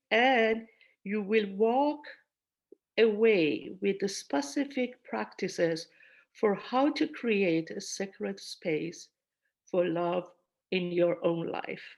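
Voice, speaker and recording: Slow-speaking woman hosting an event. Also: mid-range voice, gravelly. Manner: measured, pausing between short phrases. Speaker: event host, school founder and director. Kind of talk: welcoming speech and poetry reading.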